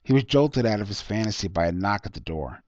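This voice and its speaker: A man's voice: fairly low pitch, lively intonation, quick pace, no echo. A man reading his own short story aloud as scripted narration. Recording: dull lo-fi close recording.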